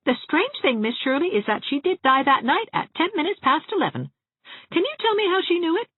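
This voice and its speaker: A woman's voice: sits high, sing-song, fairly quick, dry recording, phone-quality sound, pitched above the performer's own voice. A woman voicing a ladylike small-town spinster dressmaker in an audiobook, chatting genteelly with relish for grim family gossip. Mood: gossipy, confiding. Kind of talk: acted audiobook dialogue.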